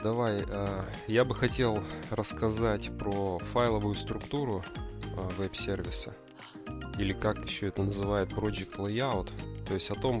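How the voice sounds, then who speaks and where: Low, creaky-voiced man chatting about tech. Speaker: podcast co-host explaining backend development. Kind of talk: casual explanatory tech discussion.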